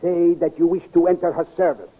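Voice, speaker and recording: A man playing a calm space commander in an old radio drama, measured and authoritative. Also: on the high side, close to an everyday voice. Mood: commanding. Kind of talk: 1930s radio drama acting. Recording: tinny, narrow old radio recording.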